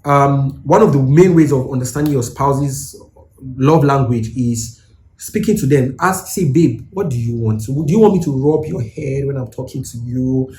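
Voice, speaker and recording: A man's voice: mid-range voice, moderate pace, darkish tone, echoey room, poor audio. A man from Nigeria, co-hosting and giving earnest, instructive advice to couples. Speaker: co-host. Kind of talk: advice talk.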